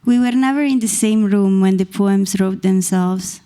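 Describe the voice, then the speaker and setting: A woman in her thirties from Italy with an Italian accent, reading her poetry slowly and deliberately into a stand mic on a small stage. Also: medium pitch, dark tone, clean tone. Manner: measured, deliberate reading from a page. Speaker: poet reading her work. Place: small stage in a dark performance venue. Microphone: stand mic close to the mouth.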